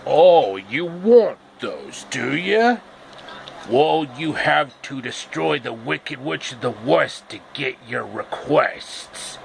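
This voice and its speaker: Imposing, demanding storybook wizard, acted by a male reader, speech slow and stretched, noisy recording. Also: mid-range voice, very animated, gravelly, full character voice. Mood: demanding, folksy. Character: great and powerful wizard, imposing, demanding, Hitchcock-styled.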